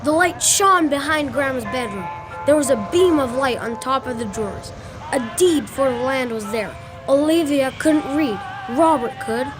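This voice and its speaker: A woman's voice: sits high, lively intonation, moderate pace, music in the background. A young schoolgirl giving scripted narration for a radio drama. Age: child, about 10. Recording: clearly noisy ambient sound.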